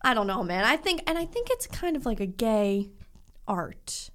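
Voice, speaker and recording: A woman's voice: fairly high pitch, big pitch swings, moderate pace. A woman co-hosting a podcast in casual chat, crude and giggly, rambling with fillers. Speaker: podcast co-host.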